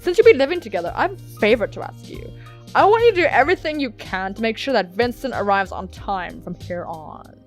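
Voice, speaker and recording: A woman's voice: sits high, sing-song, very fast, hardly any pauses, soft background music, pitched above the performer's own voice. A woman voicing a stern, intimidating male office boss in a clipped, commanding manner. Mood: stern, disdainful.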